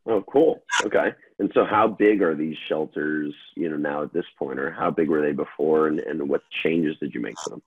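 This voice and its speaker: A man's voice: very deep voice, normal speed, hardly any pauses, husky. A man interviewing a guest on a podcast, asking hesitant questions with fillers and giving short affirmations. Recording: tinny phone-like line.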